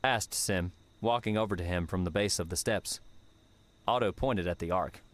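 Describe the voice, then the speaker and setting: A man's voice: low voice, moderate pace. A man reading scripted story narration as an audiobook narrator. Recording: music under the voice.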